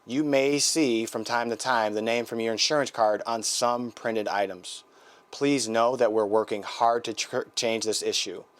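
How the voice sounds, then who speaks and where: A man's voice: medium pitch, steady tone, a little slow, close to an everyday voice. A man with an American accent playing a polite, helpful clinic receptionist in a scripted training role-play call. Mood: reassuring, explanatory. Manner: courteous, accommodating, procedural.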